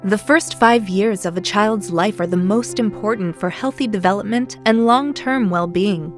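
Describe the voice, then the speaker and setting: A woman reading scripted narration for a public-service advertisement. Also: medium pitch. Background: faint music.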